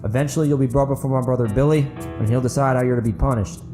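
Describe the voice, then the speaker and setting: A man voicing a composed, self-assured mayor with a polite, measured, official manner in an audiobook. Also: mid-range voice, steady tone, music in the background, natural voice. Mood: stern. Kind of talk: dramatic audiobook character acting.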